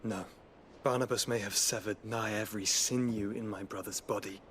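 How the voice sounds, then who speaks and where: Male actor as solemn noble warrior: low, flat intonation, formal game delivery, faint ambience. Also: moderate pace, a little hiss or hum, natural voice. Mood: grave, assured. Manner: formal, grave. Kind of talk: video game cutscene acting.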